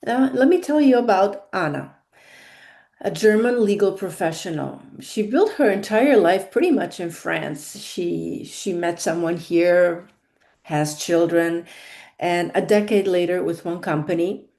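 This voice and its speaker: A woman's voice: on the low side, lively intonation, measured pace, gravelly, mellow. A woman with a non-native English accent giving a monologue as a career consultant, stressing key words and repeating her points.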